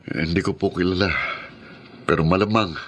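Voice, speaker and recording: A man's voice: sits low, fairly even intonation, normal speed. A man acting as a wounded, earnest suitor in a Filipino radio drama, with emotive delivery. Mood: matter-of-fact, defensive. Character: wounded man, pleading and grateful, soon to marry.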